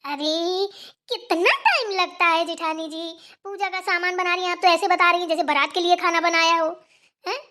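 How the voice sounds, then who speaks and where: A woman's voice: very high voice, fairly even intonation, normal speed, pushed and bright. A woman voicing a sassy, vain and impatient younger sister-in-law, teasing and nagging in a cartoon style. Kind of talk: Hindi family cartoon voice-over.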